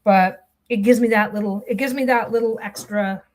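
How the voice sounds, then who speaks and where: A woman's voice: mid-range voice, steady tone, moderate pace, a little mellow. A middle-aged woman talking casually while she sews, telling a long meandering story with many restarts and distracted asides. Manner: chatty, meandering storytelling with frequent restarts and self-interruptions; distracted asides about her sewing. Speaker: vlogger sewing on camera. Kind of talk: rambling casual chat while sewing.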